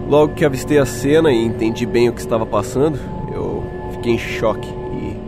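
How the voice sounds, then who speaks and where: A man's voice: medium pitch, fairly quick, vocal fry, lightly acted. A man acting as a shaken young Brazilian who tells his own horror story in a suspenseful, dramatic way. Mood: ominous, tense. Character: shaken young man recounting a night-time horror encounter. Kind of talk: dramatic first-person horror story narration with acted dialogue. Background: music.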